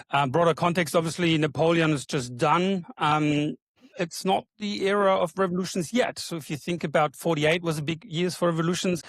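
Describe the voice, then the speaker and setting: A man's voice: fairly high pitch, no echo. A man hosting a podcast, talking in a chatty, rambling way with frequent ums. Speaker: podcast host. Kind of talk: casual podcast interview.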